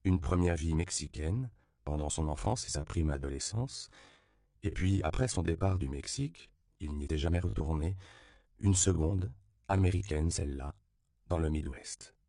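Deep, gravelly male audiobook narrator. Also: no room sound, clean studio sound. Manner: calm, measured reading.

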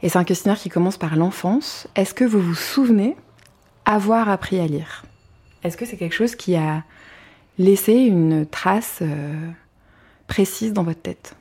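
A woman interviewing a guest at home, asking questions in a soft, measured way with small fillers. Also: fairly low pitch, slightly rough. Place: apartment living room, seated on a sofa.

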